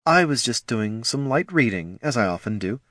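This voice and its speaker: A man voicing a flustered, lovestruck, plump middle-aged queen, shrill and breathless with a gushing manner. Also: very animated, moderate pace, fairly bright tone, dry recording, clean studio sound. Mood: coy. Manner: gushing, fluttery.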